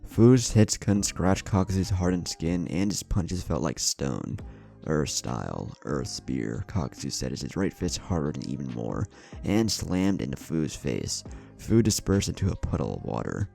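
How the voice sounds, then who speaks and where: A man's voice: low-pitched, big pitch swings, normal speed, vocal fry, warm tone. A man reading a scripted action fantasy story as its narrator. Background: background music.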